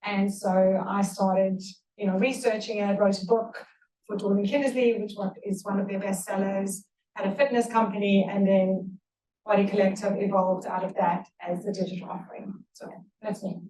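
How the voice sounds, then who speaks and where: A woman with a South African accent speaking on a panel as a tech founder, relaxed and enthusiastic, with many fillers. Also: medium pitch, normal speed. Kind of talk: panel talk. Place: event hall. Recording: echoey room, distant mic.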